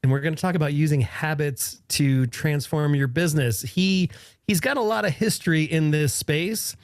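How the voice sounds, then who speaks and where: A man's voice: a little high, normal speed, no room sound, studio quality. A man co-hosting a casual podcast, with a jokey, playful manner of banter. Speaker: podcast co-host. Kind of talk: casual chat.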